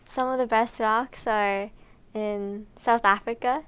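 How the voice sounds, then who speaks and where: Young woman, bubbly, giggly, smooth voice, slightly high pitch, casual interview. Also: fairly even intonation, slow pace, a bit bright. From United States. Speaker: climber.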